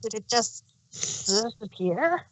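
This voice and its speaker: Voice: medium pitch, expressive, takes it slowly. A performer voicing a timid, bemused young male baby dragon with a hesitant, meek manner in a cartoon fan fiction reading. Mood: stunned.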